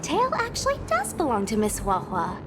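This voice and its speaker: A woman voicing a lively, loyal young woman in a video game, with an animated delivery. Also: fairly high pitch, moderate pace, noisy background. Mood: playful. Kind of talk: scripted video game voice acting. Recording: voice under prominent music.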